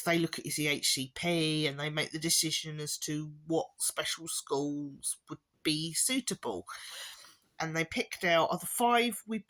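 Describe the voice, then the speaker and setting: A woman with a hoarse, fading voice from a chesty cough, giving a rambling, casual update to her viewers with wry little laughs. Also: low voice, fairly bright tone, rough recording. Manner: rambling, with many ums and restarts, occasional wry laughs. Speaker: parent vlogger. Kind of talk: casual spoken update to camera.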